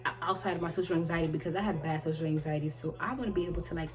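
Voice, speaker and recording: A woman in her twenties answering viewer questions in a rambling, halting way, often restarting and trailing off. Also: low voice. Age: 20s. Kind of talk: casual chat. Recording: loud music playing behind her.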